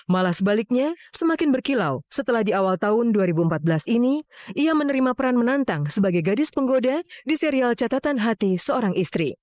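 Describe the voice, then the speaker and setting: Woman narrating a celeb profile, kinda high voice, super dry studio sound, measured and formal. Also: animated, darkish tone, dry recording. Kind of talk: scripted voice-over narration.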